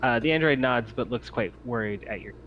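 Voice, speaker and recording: A man improvising descriptive narration as game master of a tabletop game, in a halting way. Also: mid-range voice. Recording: dull audio with faint noise.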